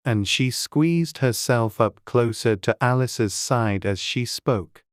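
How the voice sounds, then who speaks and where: Very low-pitched woman storybook narrator. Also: takes it slowly, no room sound, clean studio sound. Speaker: audiobook narrator.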